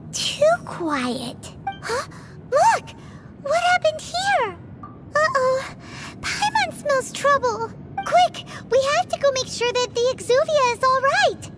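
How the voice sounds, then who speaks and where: A woman voicing a small, excitable, childlike fairy companion in a video game, with squeaky, exclamatory delivery. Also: heavily acted. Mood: alarmed. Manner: refers to self in third person, exclamatory. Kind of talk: animated video game voice acting.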